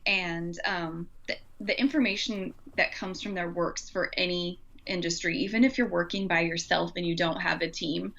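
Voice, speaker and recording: A woman's voice: lowish voice, a bit bright. Woman podcaster on a dull, lo-fi recording. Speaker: podcast co-host. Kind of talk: casual podcast chat.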